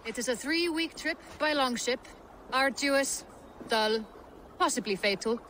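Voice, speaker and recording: A woman playing a brisk, no-nonsense ship captain in a video game, clipped and deadpan. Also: high voice, full character voice. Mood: firm, wry. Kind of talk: video game cutscene acting. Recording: faint waterside background noise.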